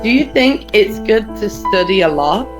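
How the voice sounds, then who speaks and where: A young Englishwoman, a teacher asking interview questions in a slow, patient, encouraging way. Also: mid-range voice, lively intonation, music in the background. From England. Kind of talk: casual conversational interview over a call.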